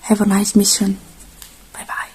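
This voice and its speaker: Soft, hushed woman doing ASMR roleplay. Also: medium pitch, completely flat delivery. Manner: gentle, hushed, halting, reassuring. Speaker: ASMR performer playing a robot repair technician.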